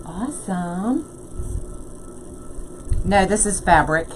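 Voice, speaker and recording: Slow-talking woman crafting live. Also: fairly low pitch, animated, noisy background. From USA. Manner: chatty, laughs often, reads viewer comments aloud. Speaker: craft livestream host. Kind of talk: casual live crafting tutorial.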